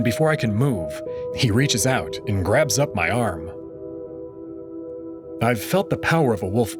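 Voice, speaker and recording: Expressive deep-voiced male narrator. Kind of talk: first-person visual novel narration.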